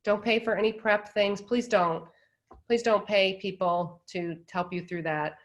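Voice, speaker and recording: A woman's voice: medium pitch, steady tone, a little slow, dull audio. A woman who directs admissions, giving emphatic advice to applicants and repeating her points over a video call.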